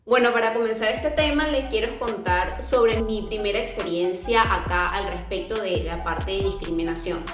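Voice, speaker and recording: A young woman with a Venezuelan accent talks earnestly to her vlog audience, often pausing with fillers and restarting her sentences. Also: mid-range voice. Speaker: YouTuber. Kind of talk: casual vlog talk to camera. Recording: echoey room with music behind.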